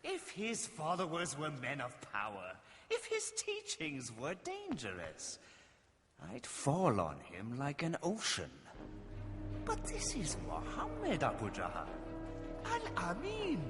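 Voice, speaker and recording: A man playing a haughty, dismissive man of power in an animated film, with a scornful manner. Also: a little high, slow, full character voice. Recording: long hall reverb, music behind.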